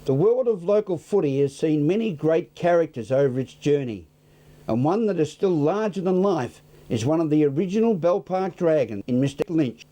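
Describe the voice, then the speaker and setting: A man's voice: on the high side, lively intonation, moderate pace, warm tone. A man presenting scripted local television news links.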